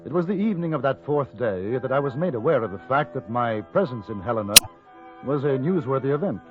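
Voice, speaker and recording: A man's voice: mid-range voice, animated, a little fast, faint music behind, natural voice. Actor as composed Englishman, tinny old radio. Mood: reflective. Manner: polite, understated, dry. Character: composed, courteous English newspaperman gunfighter in the Old West. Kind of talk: 1950s radio western acting and narration.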